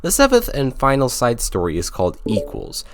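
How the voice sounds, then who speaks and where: A man's voice: medium pitch, wildly swooping pitch, moderate pace, no room sound, studio quality. A man narrating a scripted video game review in a wry, sarcastic way.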